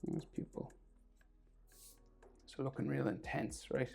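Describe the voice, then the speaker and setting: A man with a sore throat and a crackly, gravelly voice, talking casually through a drawing tutorial, often trailing off to a near whisper. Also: mid-range voice, fairly even intonation, unhurried, darkish tone, faint music behind.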